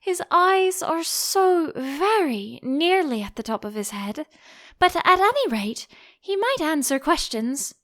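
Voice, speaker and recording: A woman's voice: high voice, very animated, slow, fairly bright tone, voice pushed up, full character voice. A woman voicing a curious, timid young storybook girl who is earnest, plaintive and easily exasperated. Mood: musing.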